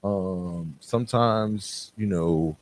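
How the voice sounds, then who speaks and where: Low-voiced man giving an earnest motivational talk. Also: extremely slow. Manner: earnest, direct, emphatic repetition; conversational, colloquial. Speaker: podcast host.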